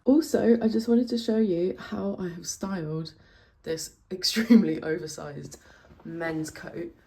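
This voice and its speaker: A woman's voice: fairly low pitch, steady tone, measured pace, warm tone. A woman, a vlogger and public speaker, talking casually and cheerfully to camera with plenty of "like" and "literally". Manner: chatty and upbeat, fillers like "like" and "literally". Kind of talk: casual to-camera vlog chat.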